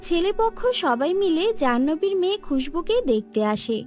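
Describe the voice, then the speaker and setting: A woman reads a cartoon fairy tale as its narrator. Her voice is very high, and the telling is scripted, with soft music behind it. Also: sing-song, moderate pace.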